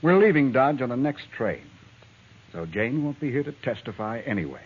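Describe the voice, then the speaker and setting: A man's voice: mid-range voice, very animated, normal speed, breathy edge. An actor playing a hostile, defiant man in an old radio western, snapping back sharply. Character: hostile, defiant man guarding his room. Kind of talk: 1950s radio drama acting. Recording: muffled vintage radio transcription.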